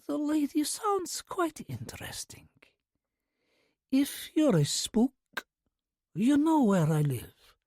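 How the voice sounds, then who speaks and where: A narrator voicing a soft-spoken elderly Russian widow with a Russian accent, half-whispering, calm and shrewd. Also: sits high, no room sound, clean studio sound, pitched above the performer's own voice, exaggerated voice. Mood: amused. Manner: quiet, slow and measured. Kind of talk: audiobook character voice.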